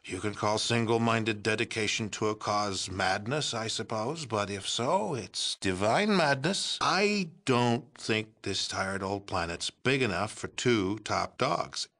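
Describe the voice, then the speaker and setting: An actor voicing a fanatical, self-righteous older president in a video game, with an American accent and a pompous, folksy politician's way of speechifying. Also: male voice, fairly low pitch, lively intonation, a little slow, bright, forceful tone, heavily acted. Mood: zealous, resolute. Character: fanatical, self-righteous president of a post-apocalyptic remnant government. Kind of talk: dramatic video game voice acting.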